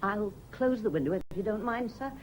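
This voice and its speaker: An actress playing a blunt, chatty but deferential household servant in a radio drama, matter-of-fact and grumbling. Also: female voice, mid-range voice, expressive, a little fast, a little hiss or hum, close to an everyday voice. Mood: deferential, brisk. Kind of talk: British radio drama acting.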